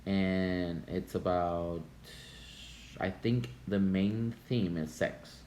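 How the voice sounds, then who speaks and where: A man's voice: deep voice, fairly even intonation, very slow, darkish tone. A man with a Russian accent hosting a casual podcast chat, talking loosely with fillers and frequent laughs. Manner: laughs often, hesitant fillers. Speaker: podcast host. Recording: echoey room with faint noise.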